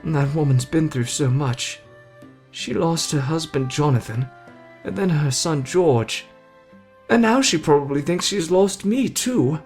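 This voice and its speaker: Guy doing a excitable young anime hero, high voice, playful and dramatic, asmr roleplay, music under it. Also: measured pace. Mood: tender, somber. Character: brash excitable young man, wounded hero recovering. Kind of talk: ASMR roleplay acting addressed to listener.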